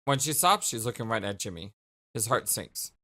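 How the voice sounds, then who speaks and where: A man's voice: on the low side, big pitch swings, normal speed, bright, forceful tone. A man reading visual novel narration as a let's play host, with casual commentary and the odd stumble.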